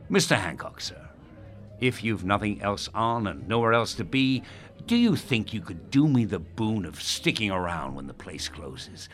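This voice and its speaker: An Irish actor playing a self-important, manipulative radio host and politician, telling stories in a pompous, theatrical way. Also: male voice, lowish voice, vocal fry, a bit bright, heavily acted. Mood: reverent storytelling. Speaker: actor in an audio drama. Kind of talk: audio drama acting as a radio host.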